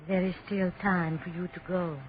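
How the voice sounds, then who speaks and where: A woman's voice: fairly low pitch, level tone, moderate pace, a little hiss or hum, natural voice. Actress as ominous French woman, tinny old radio. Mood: solemn warning. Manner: terse, cryptic, formal. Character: mysterious masked French woman, ominous and solemn. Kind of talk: 1930s radio acting.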